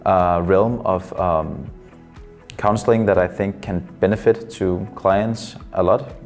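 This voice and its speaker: A Danish man in his twenties, a psychology student and counselor, giving thoughtful interview answers in English as a second language, often pausing with fillers. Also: low voice, fairly even intonation, creaky, darkish tone, faint music behind. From Denmark. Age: 20s.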